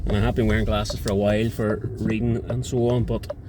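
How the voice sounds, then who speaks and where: An accountant in his forties talking casually to camera in a thoughtful, hesitant way with many filler pauses, recorded in a car on the road. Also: on the low side, little pitch movement. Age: 40s. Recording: loud road and car noise behind. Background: vehicle and traffic noise.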